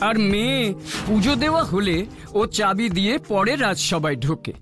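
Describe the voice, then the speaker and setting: A man's voice: high voice, animated, moderate pace. A man voicing an anxious, courteous king and father in a children's cartoon, speaking formally over music. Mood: earnest. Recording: music playing behind the voice.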